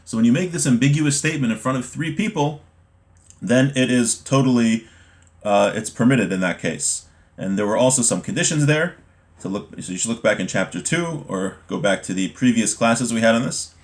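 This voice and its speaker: A man giving an informal religious lesson, reading from a text and explaining it, halting at times and repeating words for emphasis. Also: mid-range voice, animated. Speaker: religious teacher giving a class.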